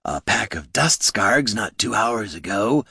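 A man's voice: fairly low pitch, animated, a little slow, creaky, sharp tone, breathy edge, dry recording, studio quality, heavily acted. A man voicing a lonely troll who longs for friends, speaking wistfully in an audiobook. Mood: eager to please.

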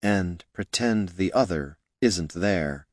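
A man's voice: low-pitched, animated, takes it slowly, dry recording, clean studio sound. Man voicing brash, proud young female mage. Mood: curt. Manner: brash, cutting.

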